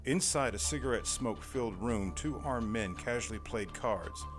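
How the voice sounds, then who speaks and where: A man's voice: mid-range voice, lively intonation, moderate pace, over music. A man giving scripted, dramatic narration for a noir horror audio drama.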